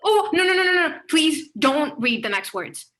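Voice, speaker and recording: A woman reading the part of an anxious, vulnerable woman author at a play table read, speaking softly and hesitantly and breaking into alarmed cries. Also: high voice, very animated, moderate pace.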